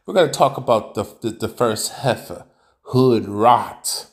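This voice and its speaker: A man hosts a live reaction stream and talks over a clip in a blunt, scolding rant. He is mid-pitched and keeps stumbling into repeated words as he goes. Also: lively intonation, unhurried. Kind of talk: ranting commentary over a played clip.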